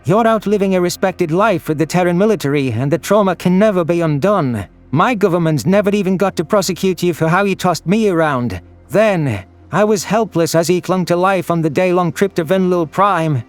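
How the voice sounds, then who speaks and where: A man's voice: sits high, normal speed, faint music behind, voice pushed up, lightly acted. A man voicing a furious, bitter alien prey creature in an audio drama, accusatory and screeching. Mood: bitter, accusing. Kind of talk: audio drama character acting.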